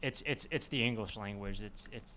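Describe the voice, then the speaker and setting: A man's voice: on the low side, normal speed, a loud crowd. A man talking casually in a group podcast discussion, often fumbling his words and backtracking. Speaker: podcast panelist.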